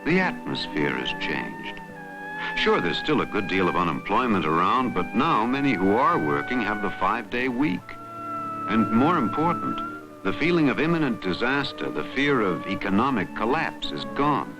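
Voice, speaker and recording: A man delivering scripted, measured and dramatic narration for a documentary. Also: lowish voice, big pitch swings, low-quality recording. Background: music bed.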